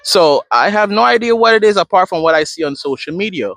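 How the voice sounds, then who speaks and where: Man from guyana, high lively voice, dry close sound, talking through examples. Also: fairly quick, no room sound. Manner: conversational, explains step by step with examples. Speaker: therapist in training. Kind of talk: direct-to-camera advice talk.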